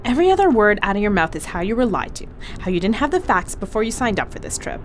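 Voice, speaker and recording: A woman's voice: medium pitch, big pitch swings, quick pace, slight background noise, natural voice. An actress playing a bossy, confrontational crew woman who speaks sharply and sarcastically.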